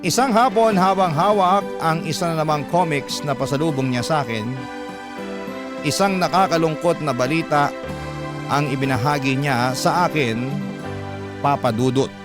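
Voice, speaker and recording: A man hosting a radio programme, reading a scripted emotional story with measured, dramatic phrasing. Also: on the high side. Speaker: radio host and narrator. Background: music.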